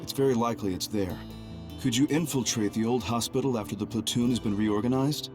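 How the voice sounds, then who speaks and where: A man playing a composed, calculating young double agent in a video game, speaking in a measured, formal, grave way. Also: medium pitch, over music, lightly acted. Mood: calm, businesslike. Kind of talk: anime-style video game cutscene acting.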